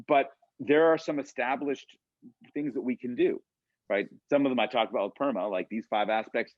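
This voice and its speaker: A man's voice: medium pitch, dry recording. A man speaking as a guest on a video call, earnest and encouraging, with lots of fillers. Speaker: guest speaker, college instructor. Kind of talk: casual video-call talk. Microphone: computer mic on a video call. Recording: dry, dull lo-fi video call audio.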